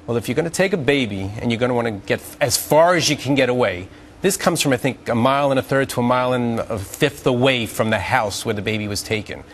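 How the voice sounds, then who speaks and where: A man's voice: mid-range voice, fast, slight background noise. A man from the United States arguing as a legal panelist in a live TV debate, emphatic, colloquial and argumentative.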